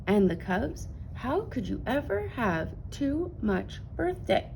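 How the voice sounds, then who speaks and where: Woman as father bear, storybook, jovial, carefree, indulgent, creaky edge, faint noise. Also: high-pitched, big pitch swings, slow pace, a little mellow, pitched above the performer's own voice, heavily acted, rough recording. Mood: breezy, scoffing.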